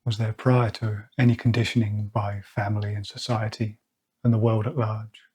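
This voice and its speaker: A man leading a guided meditation, speaking slowly and softly with long pauses. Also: on the low side, little pitch movement, husky. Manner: slow, soft-spoken, with long pauses. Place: outdoors or by an open window with birds nearby.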